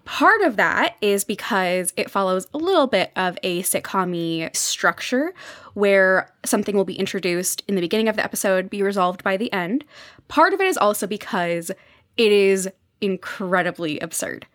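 A woman explaining things casually as a podcast guest, measured, weighing words with drawn-out emphasis. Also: mid-range voice, expressive, a bit bright, dry recording, studio quality.

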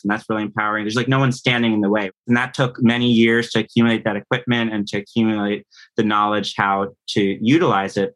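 A man's voice: fairly low pitch, a bit bright. A man in his thirties talking casually as an interview guest, explaining things and often checking in with the listener. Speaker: composer and audio producer guest.

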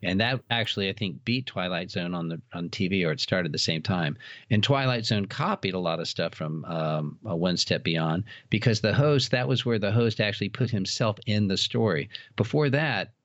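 A man's voice: low voice, moderate pace, fairly bright tone. A man speaking as an expert podcast guest, explaining things conversationally, on a slightly lo-fi recording. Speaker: podcast guest, old-time radio expert. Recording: dull, roomy lo-fi sound.

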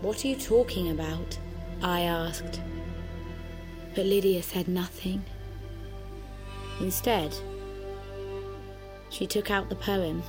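An actress playing a soft, ominous inner voice that narrates an audio drama in a measured, suspenseful way. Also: female voice, fairly low pitch. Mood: uneasy, intense. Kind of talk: slow, hushed dramatic narration in the first person. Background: music.

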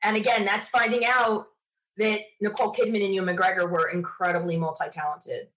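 A woman's voice: medium pitch, steady tone, fairly quick, fairly bright tone, a little hiss or hum, rough recording. Chatty, enthusiastic woman telling anecdotes. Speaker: interview guest, sci-fi podcaster. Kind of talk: casual interview chat, animated anecdotes.

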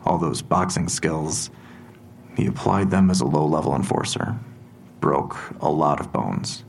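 A man playing a stern police detective in an audio drama, questioning a suspect in a measured, probing way with a gravelly voice. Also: very deep voice, a little hiss or hum, exaggerated voice. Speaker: police interrogator. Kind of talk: full-cast audio drama acting.

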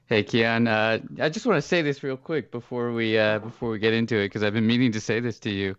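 A man's voice: medium pitch, brisk, clean tone, no room sound. A man giving casual football analysis as a podcast co-host, on a dry, slightly dull recording.

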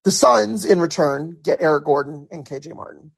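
A man hosting a solo sports podcast, talking through his thoughts in a casual way with many restarts, his voice hoarse. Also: a little high, normal speed. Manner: conversational, repeats words and restarts often. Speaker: podcast host.

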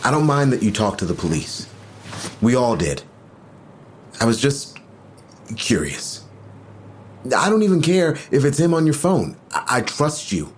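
An actor playing a touchy, quietly suspicious male lover in naturalistic audio drama dialogue, intimate and pointed. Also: medium pitch, sing-song, measured pace, pauses often, a little hiss or hum. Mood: wary, questioning.

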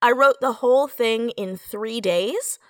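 A woman chatting casually as a writer on a podcast, telling stories in an animated, self-deprecating way. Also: a little high, slow, no room sound. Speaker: audio fiction writer, podcast guest. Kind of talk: casual conversational storytelling.

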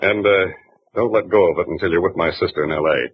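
A man's voice: sits low, fast, slightly rough. An actor playing an overbearing, pushy male broker of about forty who talks in a clipped way. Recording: muffled 1940s radio recording.

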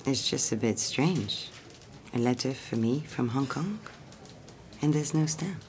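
An actress playing a polite young office secretary in an audio drama, who also narrates in the first person. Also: female voice, rumbling low, lively intonation, measured pace, darkish tone, slight background noise. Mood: polite, slightly flustered. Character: polite, fond French office secretary, engaged young woman. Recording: music behind the voice.

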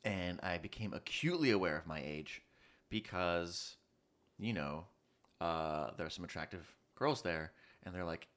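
A man's voice: low voice, moderate pace. A man of thirty-five chatting casually and telling stories in slangy, sweary speech, acting out bits of dialogue as he goes. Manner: animated storytelling with acted-out quotes, slangy with swearing. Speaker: college student in a business fraternity. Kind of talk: casual chat, storytelling.

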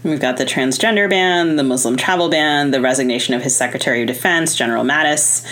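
A woman co-hosting a podcast, reading guest introductions in a crisp, listing delivery. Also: rumbling low, quick pace, fairly bright tone. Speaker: podcast co-host.

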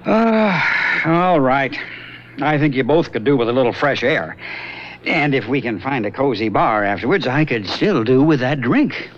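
A man's voice: medium pitch, animated, normal speed, lightly acted. An actor playing a skeptical man in a radio drama who keeps making dry, teasing remarks and wanting a drink. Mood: wry. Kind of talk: 1970s radio drama acting. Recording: lo-fi old radio broadcast, faint hiss.

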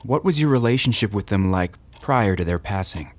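An actress playing an experienced therapist in an audio drama, husky and composed, asking probing, direct questions. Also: female voice, very deep voice, lively intonation, normal speed.

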